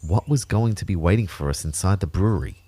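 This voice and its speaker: A low male voice in a dry, close recording, an anxious everyman telling his sci-fi adventure. He narrates in the first person with wry understatement, as in a scripted audio drama. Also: animated, fast talker, mellow, breathy edge, dry recording. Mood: wry, uneasy. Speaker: narrator and lead actor.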